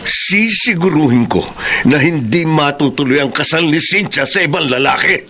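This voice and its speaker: A man's voice: on the high side, expressive, normal speed, pushed and bright, full character voice. A man in a Filipino radio drama playing an obsessive, vengeful spurned boss, emphatic and menacing, with outbursts. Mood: scheming.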